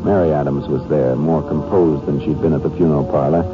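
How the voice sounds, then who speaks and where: An American actor playing a shrewd, laconic Texas Ranger in a 1950s radio drama, terse and matter-of-fact. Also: male voice, very deep voice, fairly even intonation, a little fast. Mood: measured, matter-of-fact. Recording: muffled old radio recording, faint music.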